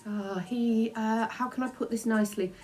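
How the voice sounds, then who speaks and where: A woman's voice: fairly high pitch, steady tone, moderate pace, a little mellow, faint music behind, pitched above the performer's own voice, lightly acted. Woman doing a chirpy, flustered old nanny. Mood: nervous, chirpy. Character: chatty, nervous, cheeky old nanny with false teeth.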